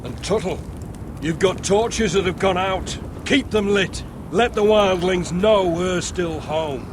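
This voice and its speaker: A stern watch officer in a fantasy game , acted by a man, barking orders. His voice is pitched high, with clear rumbling noise behind it. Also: a little fast, lightly acted. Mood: commanding. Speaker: voice actor in a game. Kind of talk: dramatic game dialogue.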